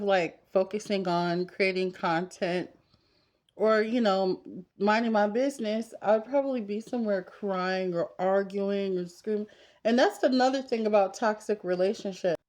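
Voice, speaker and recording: A woman's voice: mid-range voice, a little mellow. Candid woman in her thirties, casual vlogger. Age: 30s. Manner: candid, conversational, talking straight to women viewers. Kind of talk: casual confessional chat while getting ready.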